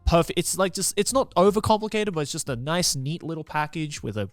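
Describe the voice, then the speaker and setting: A man's voice: fairly high pitch, lively intonation, fairly quick, no room sound, soft background music. Man chatting casually on a close, dry mic. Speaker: podcast co-host. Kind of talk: casual podcast chat about video games.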